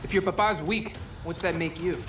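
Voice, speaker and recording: A man's voice: high-pitched, normal speed, lightly acted. Man voicing cheeky teen boy hero. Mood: taunting. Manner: wisecracking, eager. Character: cheeky, excitable teenage boy superhero. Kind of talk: video game cutscene acting. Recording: music behind the voice.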